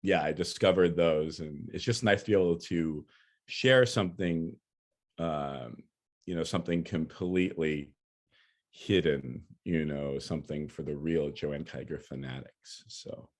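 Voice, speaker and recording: A man speaking as a guest lecturer in an informal, reflective and conversational way, often halting as he gathers his thoughts. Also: a bass voice. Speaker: guest speaker, poet and teacher.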